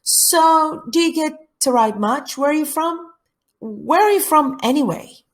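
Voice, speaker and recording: A woman's voice: sits high, expressive, a little slow, pitched above the performer's own voice. A woman reading the part of an eager, curious girl at summer camp in a play, with breezy, blunt phrasing. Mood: curious, excited. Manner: casual, breezy, inquisitive phrasing.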